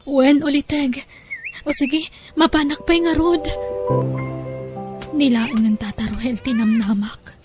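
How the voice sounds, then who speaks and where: A woman playing a worried, hard-up village wife and devoted daughter in an audio drama, speaking with a Filipino Ilocano accent and a plaintive manner. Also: sits high. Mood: desperate. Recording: music under the voice.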